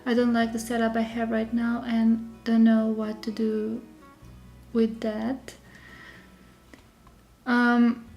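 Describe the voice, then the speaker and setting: Female live-stream host, Serbian accent, slow casual delivery, thinking aloud, a bit poorly, soft music underneath. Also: fairly high pitch. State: slightly unwell with a sore throat. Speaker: digital journaling creator hosting a live stream. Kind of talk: casual live tutorial chat.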